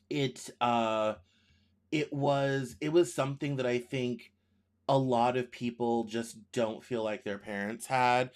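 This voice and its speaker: A man in his thirties, from the United States, hosting a news show as casual commentary, rambling and correcting himself as he thinks aloud. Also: mid-range voice. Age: 30s.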